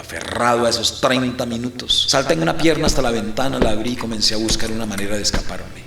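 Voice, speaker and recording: A man playing an embattled, defiant priest who recounts his own story in a deliberate, literary audio drama narration. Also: medium pitch, creaky, fairly bright tone, echoey room, slight background noise. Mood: grimly triumphant. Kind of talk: dramatic first-person scripted narration.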